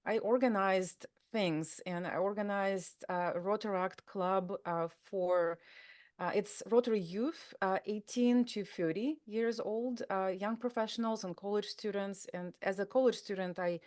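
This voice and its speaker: A woman's voice: medium pitch, a little slow, darkish tone. A woman with a Russian accent, a native Russian speaker, talking casually as an interview guest, full of fillers and restarts. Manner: chatty, many fillers and restarts. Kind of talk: conversational interview.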